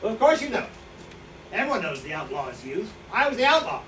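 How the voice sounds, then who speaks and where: A man acts out a boastful, boozy self-styled outlaw in a stage play reading. His voice sits high for a man, and he rambles on in smug self-justification. It is picked up from far off in an echoey room with clear background noise. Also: big pitch swings, a little fast, bright, forceful tone, heavily acted. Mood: defiant, boastful. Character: boastful, hard-drinking male Slovak profiteer, self-styled outlaw. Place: indoor staged reading, distant microphone.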